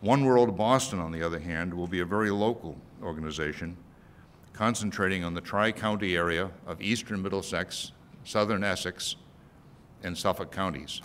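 Older male keynote speaker, low-pitched, scripted address on a podium mic, light background rumble. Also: moderate pace, a bit bright. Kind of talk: prepared speech read from notes. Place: event hall at a podium. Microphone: podium microphone. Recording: faint rumbly noise, podium microphone.

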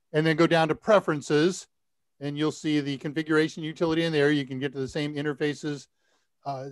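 An older man in his sixties hosting a live tech Q&A, talking casually and deliberately as he thinks aloud. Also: a little high, smooth, no echo. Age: older, 60s. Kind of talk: casual technical advice. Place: indoor room, video call.

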